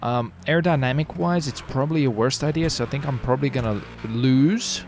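A man's voice: medium pitch, fairly quick, darkish tone, faint music behind. A man doing casual commentary during an online roleplay game, given to swearing and exclamations. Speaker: gaming streamer.

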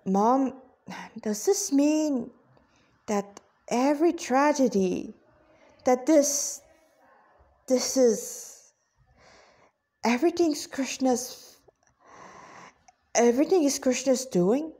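A woman reads as a young warrior son in a story. He is eager and questioning, with a high voice and a curious, hesitant way of putting things. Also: drawn-out words, long pauses, mellow. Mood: troubled.

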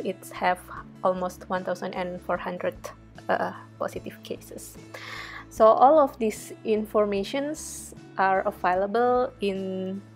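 A woman's voice: mid-range voice, creaky. A young woman from Indonesia, a research fellow, talking viewers through a coding tutorial over a screen recording in a chatty way with many fillers. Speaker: research fellow teaching data analysis.

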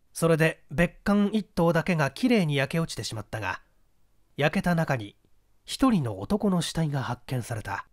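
Woman narrator with lively, varied intonation. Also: deep voice. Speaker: audiobook reader. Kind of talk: scripted narration of a mystery story.

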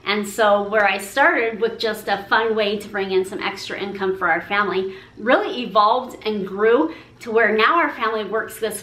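A woman's voice: mid-range voice, fairly bright tone, reverberant, low-quality recording. A woman in her forties talking to the camera, upbeat and encouraging as she shares business tips and gestures while she speaks. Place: room with a pink painted brick wall.

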